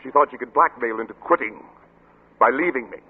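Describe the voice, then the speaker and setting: An actor playing an obsessed, paranoid middle-aged scientist in theatrical, formal 1940s radio style. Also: male voice, medium pitch, brisk, slightly rough, lightly acted. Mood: defensive, irritable. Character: obsessed, paranoid male scientist, haunted and defiant. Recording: tinny old radio recording with music.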